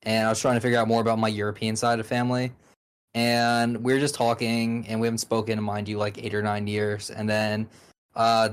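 A man co-hosting a podcast, asking questions and telling stories in a jokey, animated way. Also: lowish voice, a little fast, clear, resonant tone. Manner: jokey, animated storyteller who laughs at his own jokes. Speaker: podcast co-host. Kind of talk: casual podcast chat.

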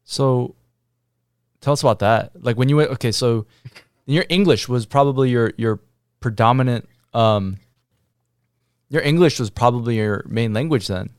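A man's voice: mid-range voice, normal speed, pauses often, clean tone, no room sound, studio quality. A man hosting a podcast, introducing the episode and asking questions in an easygoing, encouraging way. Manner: easygoing, encouraging with short backchannels. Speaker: podcast host. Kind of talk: casual interview.

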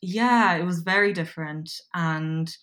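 A woman's voice: fairly low pitch, measured pace, a bit bright. A woman in her twenties with an Irish accent, chatting casually as an interview guest and filling her talk with likes and ums. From Poland. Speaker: guest, copywriter.

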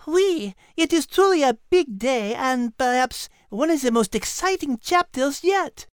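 A woman's voice: high voice, lively intonation, a little slow, dry recording, studio quality. A woman voicing a refined, learned, cheerful animal character with a French accent and a prim, polite manner in playful podcast banter.

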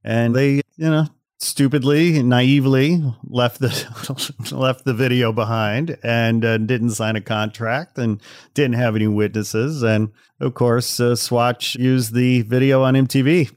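A man's voice: medium pitch, fairly even intonation, unhurried, no room sound, clean studio sound. A man hosting a podcast in a casual, wry, conversational way, speaking straight to the listeners. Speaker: podcast host.

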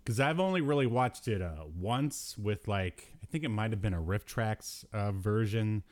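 A man's voice: fairly low pitch, moderate pace. A man hosting a podcast in a casual, jokey pop culture chat, often chuckling as he talks. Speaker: podcast host.

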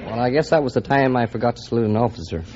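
A man's voice: mid-range voice, rapid-fire, over a noisy crowd. A young man, a junior sailor, giving short, simple, deferential answers in a radio interview. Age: young adult. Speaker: junior sailor, show guest. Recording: noisy dull old-time radio recording.

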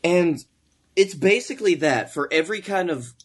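A man's voice: high voice, expressive, normal speed, breathy edge. A man co-hosting a podcast, telling casual comic stories in a rambling, wry and profane way. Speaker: podcast co-host.

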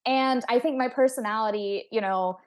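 A woman's voice: on the high side, moderate pace. Outgoing, talkative woman guest. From United States (Texas). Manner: chatty, outgoing, candid and reflective. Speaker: guest astrophysicist. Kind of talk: podcast interview conversation.

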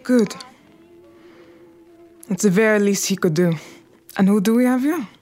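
A woman's voice: mid-range voice, a little slow, dark tone, faint music behind, natural voice. Give me a woman playing a poised, imperious Harlem crime queen. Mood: commanding, composed. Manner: formal, commanding, drops French phrases. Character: imperious, poised Harlem numbers queen, wounded but unbowed. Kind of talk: audio drama acting.

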